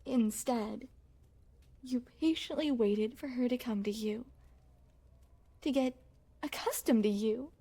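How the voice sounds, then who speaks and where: A woman plays a young crown princess in an intimate ASMR roleplay. Her voice sits on the high side and moves very slowly. She phrases everything formally, with a genteel, coy and self-satisfied poise. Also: warm tone, slightly rough, full character voice. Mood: curious, coy. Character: young crown princess, refined, playful, secretly possessive. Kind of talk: ASMR roleplay, scripted, addressed to the listener.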